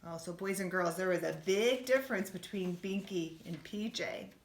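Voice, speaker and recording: A woman reading a children's storybook aloud like a teacher, expressively, with gentle questions to her young listeners. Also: on the low side, moderate pace. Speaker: schoolteacher reading a bedtime story. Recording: roomy, echoey room sound.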